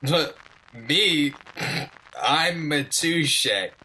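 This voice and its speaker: A man's voice: fairly high pitch, extremely slow, bright, forceful tone, a little hiss or hum, exaggerated voice, rough recording. A man playing a proud, chatty shopkeeper who tells his story in a rambling, emphatic, old-time radio manner. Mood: fond, chatty. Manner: rambling, self-interrupting, emphatic. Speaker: narrator in character.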